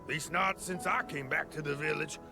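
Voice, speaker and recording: A man's voice: a little high, steady tone, moderate pace, gravelly. A man voicing a folksy, easygoing villager storyteller in a video game cutscene, with a drawl. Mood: helpful, easygoing. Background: background music.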